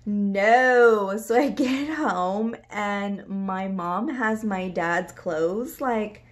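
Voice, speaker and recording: A woman's voice: mid-range voice, extremely slow. A woman, telling her own life story in a rambling, casual way, full of "like" fillers. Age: 30s. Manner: rambling, many "like" fillers, laughs a lot. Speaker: vlogger.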